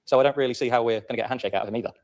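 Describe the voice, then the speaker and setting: A man's voice: medium pitch, very fast. A man speaking as a local councillor in a chamber debate, earnest and repeating words for emphasis.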